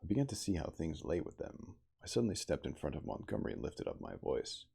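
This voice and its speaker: A man reading a story aloud in a hushed ASMR style, with casual asides. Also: extremely low pitch, wildly swooping pitch, fast, creaky, slightly rough. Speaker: reader, livestreamer.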